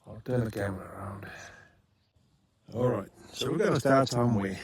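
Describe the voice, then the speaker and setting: A man's voice: lowish voice, moderate pace, over a noisy crowd. A South African man talking casually in a rambling home vlog, often stopping to correct himself. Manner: rambling, self-correcting, frequent ums. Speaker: vlogger. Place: rural homestead.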